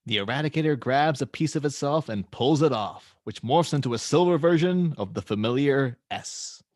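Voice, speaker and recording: A man's voice: medium pitch, lively intonation, moderate pace, no echo, clean studio sound. A man reading stage directions as the narrator of a dramatic superhero script table read.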